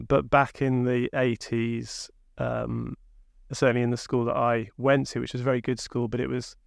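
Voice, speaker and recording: A man in his fifties with a broad Sheffield accent, a company chief executive answering interview questions in a relaxed, reflective way. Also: mid-range voice, vocal fry, dry recording, studio quality. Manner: relaxed and reflective, with many fillers. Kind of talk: conversational interview.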